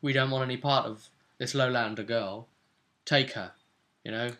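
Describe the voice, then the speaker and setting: Guy game master chatting through a tabletop session recap, bright forward voice, lots of "isn't it" style tags. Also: mid-range voice, steady tone, moderate pace. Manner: chatty, conversational storytelling with tag questions. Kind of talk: casual recap of a tabletop role-playing session.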